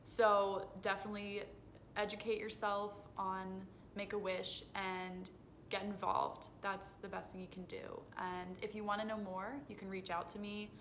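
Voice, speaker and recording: A young woman speaks at a middle pitch, answering interview questions in an earnest, explanatory way. She sounds rehearsed, like a spokesperson on camera. A faint noisy rumble sits behind her on a phone recording. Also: level tone, measured pace, a bit bright. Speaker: interviewee, foundation communications director.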